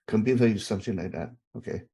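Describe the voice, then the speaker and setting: A man's voice: lowish voice, fast, warm tone, slightly rough. A middle-aged man from Taiwan, teaching a university class over a video call with many fillers and repeated okays. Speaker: university instructor. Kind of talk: online class, informal chatting.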